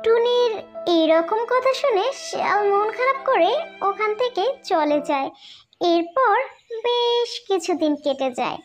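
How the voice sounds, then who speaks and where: A woman's voice: very high voice, lively intonation, a little slow. A woman narrating a scripted cartoon tale for children as its storyteller. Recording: loud music behind the voice.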